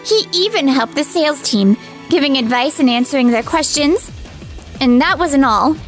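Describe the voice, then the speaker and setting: A woman's voice: sits high, lively intonation, moderate pace, soft background music. A woman playing a firm, loyal young office colleague in a manga dub, explaining things plainly. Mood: firm, reproachful. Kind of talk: scripted manga dub acting.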